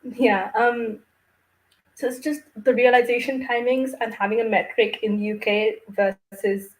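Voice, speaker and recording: A woman's voice: on the high side, steady tone, unhurried, poor audio. A woman from India speaking reflectively as a panellist in a discussion. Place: video call.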